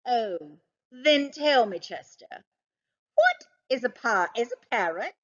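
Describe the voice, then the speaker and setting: A woman's voice: fairly high pitch, expressive, takes it slowly, dull audio, pitched above the performer's own voice. A woman voicing a naive, good-natured, food-loving male dog in a children's storybook, with an earnest, innocent manner. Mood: dignified. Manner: earnest, innocent, striving for dignity. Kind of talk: children's storybook read-aloud.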